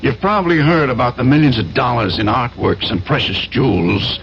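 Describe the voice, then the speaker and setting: Self-assured, commanding schemer played by a man, gravelly and persuasive, 1970s radio drama, dull old recording. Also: medium pitch, fairly quick, a bit bright, close to an everyday voice. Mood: storytelling. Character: smooth-talking, commanding older schemer, war veteran importer.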